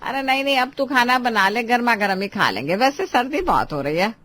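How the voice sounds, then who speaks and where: Nagging, animated mother-in-law. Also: fairly high pitch, a little fast. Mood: bossy. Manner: scolding, overbearing, theatrical. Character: nagging middle-aged Indian mother-in-law, bossy, doting on daughter. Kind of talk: dramatized family story acting.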